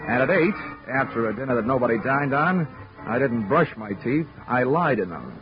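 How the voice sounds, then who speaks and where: A man's voice: mid-range voice, expressive, moderate pace, close to an everyday voice. An actor playing a hard-boiled insurance investigator in a 1940s radio drama, narrating his case with dry wisecracks. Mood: wry. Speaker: narrator and lead. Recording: lo-fi 1940s radio broadcast with music.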